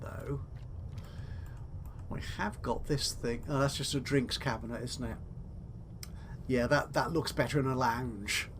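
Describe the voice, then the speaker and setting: Male gaming host, mid-pitched, chatty, talks to himself, faint noise behind. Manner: chatty, thinking aloud, talking to himself. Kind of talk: casual let's play commentary.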